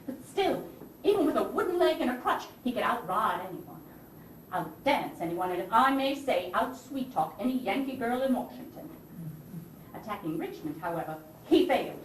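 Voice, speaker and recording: Actress as a high-voiced proud Southern First Lady, distant. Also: big pitch swings, normal speed. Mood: defensive, proud. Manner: theatrical, genteel, emphatic, swinging from anguish to haughty scorn. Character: proud, indignant Southern First Lady of the Confederacy, wounded mother. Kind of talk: stage play monologue. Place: theatre stage, recorded from a distance. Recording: roomy distant stage recording with hiss.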